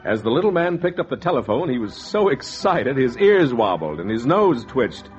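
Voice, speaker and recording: Wry hardboiled schemer male actor, lively inflection, vintage radio drama with a muffled old sound. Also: medium pitch, moderate pace, natural voice. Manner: wry, animated storytelling. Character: slick, wry, scheming fur speculator telling his tale. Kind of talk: 1940s hardboiled radio narration and dialogue. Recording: dull 1940s radio recording, music behind.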